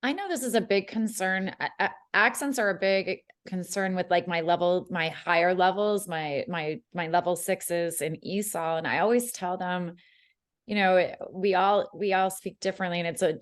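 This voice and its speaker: A woman's voice: lowish voice, steady tone, moderate pace. A woman who teaches ESOL, talking in a chatty way on a video call and stumbling over and repeating words. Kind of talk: casual discussion on a video call.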